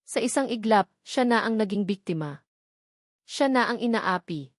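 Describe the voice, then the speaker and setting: A woman's voice: mid-range voice, lively intonation, normal speed, no echo, studio quality. A woman reading a scripted story in a dramatic narrating style.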